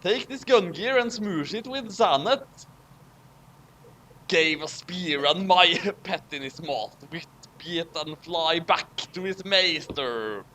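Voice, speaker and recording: A man's voice: a little high, expressive, slow pace, pushed and bright, slight background noise. A man co-hosting a dramatic fanfic reading, theatrical and over-acted, with casual chat in between.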